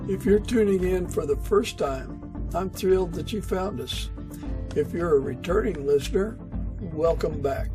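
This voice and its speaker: A man's voice: high-pitched, unhurried, music in the background. A man hosting a podcast, moving between scripted introduction and friendly interview talk. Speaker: podcast host.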